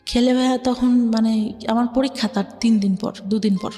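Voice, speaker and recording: A young woman with a Bangladeshi Bengali accent tells her life story to an interviewer, speaking softly and hesitantly with many filler words. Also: on the high side, steady tone, normal speed, long reverb tail, faint music behind. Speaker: interview guest. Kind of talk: personal life story in conversation.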